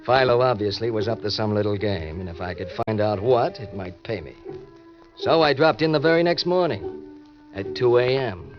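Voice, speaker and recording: A man's voice: lowish voice, lively intonation, moderate pace, lightly acted. An actor playing a wisecracking, cynical ex-convict drifter, with an American accent and a wry, slangy tough-guy delivery in 1940s radio style. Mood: cool, sardonic. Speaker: narrator and lead. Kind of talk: 1940s hardboiled radio drama acting. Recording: muffled old-time radio recording with music.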